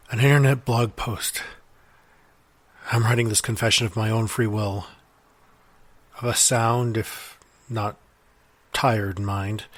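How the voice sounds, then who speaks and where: A man voices a guilt-ridden government scientist making a confession, measured and formal but worn down. His voice has a husky, breathy edge. The sound is close and dry. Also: medium pitch, dry recording, lightly acted. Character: remorseful male government scientist writing a confession. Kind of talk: audio drama monologue.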